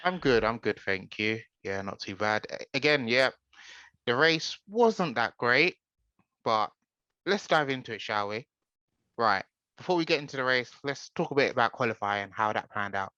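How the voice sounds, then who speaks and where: A man hosts a casual podcast in a forceful, bright voice that sounds a little tense. He moves the chat along briskly, setting up each topic. The recording is dry and dull, with a lo-fi feel. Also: mid-range voice, animated, clean tone, no room sound. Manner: brisk, leads the talk and sets up each topic. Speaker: podcast host.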